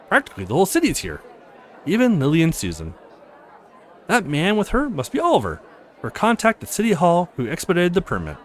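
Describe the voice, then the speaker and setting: Very animated man reading a visual novel. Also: a little high. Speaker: let's play host. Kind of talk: visual novel read-aloud and casual commentary.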